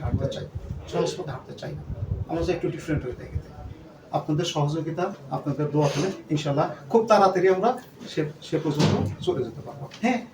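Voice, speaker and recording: A man speaking earnestly to a gathering of shareholders as their company leader, repeating himself and dropping in English words. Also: high-pitched. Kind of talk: speech to a gathering. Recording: echoey room, distant mic, background noise.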